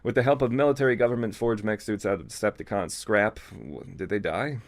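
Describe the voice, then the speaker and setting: A man's voice: fairly low pitch, brisk, vocal fry. A man reading fan fiction aloud as a co-host, with casual, amused, irreverent asides.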